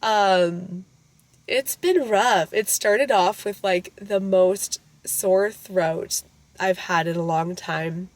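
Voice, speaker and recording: A woman in her thirties, hoarse from a cold, chatting casually and rambling as she vlogs her day into a handheld camera. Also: medium pitch, slow pace, no room sound. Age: 30s. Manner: chatty, rambling, self-correcting. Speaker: vlogger and mother. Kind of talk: casual vlog chat.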